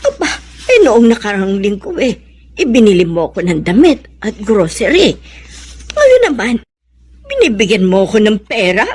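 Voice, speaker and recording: Husky older Filipino mother. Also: mid-range voice, sing-song, moderate pace, a little mellow. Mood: puzzled, suspicious. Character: older mother, devout, questioning, gently scolding. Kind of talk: radio drama acting.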